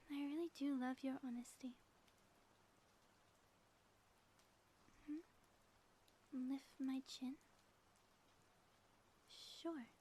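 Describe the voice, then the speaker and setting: A woman playing a flirtatious, affectionate ex-villain wife in an intimate ASMR audio roleplay, teasing and breathy, often dropping toward a whisper. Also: high voice, warm tone. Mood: tender, flirtatious. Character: playful, flirtatious ex-villain wife, theatrical yet sappy and affectionate. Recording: faint background noise.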